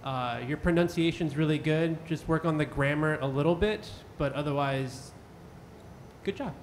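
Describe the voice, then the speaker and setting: A man's voice: fairly high pitch, normal speed, a little hiss or hum. A man judging as an English coach, giving reassuring feedback to a nervous contestant. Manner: reassuring, encouraging. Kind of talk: spoken feedback.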